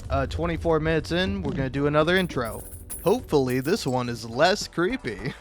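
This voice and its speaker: A man with a slightly high voice talks casually over music, as a video host riffing for comedy. He rambles and stammers through his thoughts. His manner is self-deprecating and sweary. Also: animated. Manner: halting, stammering, rambling delivery.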